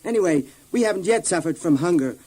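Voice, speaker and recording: A faithful young son in a dramatized Bible story for kids. His voice sits slightly high. He sounds earnest and reasons things out plainly. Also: lively intonation, quick pace, a little mellow, breathy edge, rough recording.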